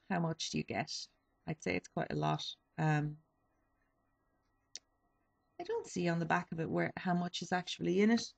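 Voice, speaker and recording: A woman's voice: on the low side, gravelly. A young woman in her twenties, a little sniffly, chatting casually through a beauty haul with lots of ums and self-corrections. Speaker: beauty vlogger. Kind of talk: casual product review.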